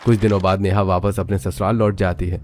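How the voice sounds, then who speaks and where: A man's voice: sits low, little pitch movement, fairly quick, a little mellow. A man giving scripted storybook narration for a family cartoon.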